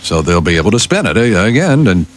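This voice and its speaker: Low-voiced American radio newsman with animated delivery. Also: fast, a little hiss or hum. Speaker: radio news anchor and sidekick. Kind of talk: news reading mixed with banter. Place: radio studio.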